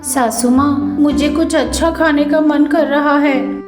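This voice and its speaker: Comic story role: a woman as food-obsessed young daughter-in-law, high, whiny, cheeky, quick to argue. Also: fairly even intonation, reverberant, music in the background.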